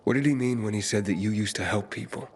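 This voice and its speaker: An actor playing an earnest, terse young wanderer with a gravelly voice in a game cutscene. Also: male voice, fairly low pitch, fast talker, darkish tone, a little hiss or hum, lightly acted. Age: young adult. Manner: terse, urgent, questioning. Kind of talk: video game cutscene voice acting.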